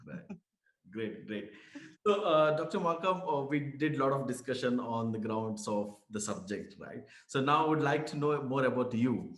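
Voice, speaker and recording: Echoey male interviewer, medium pitch. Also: lively intonation, moderate pace. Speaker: interviewer, host. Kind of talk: conversational interviewing. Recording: echoey room sound.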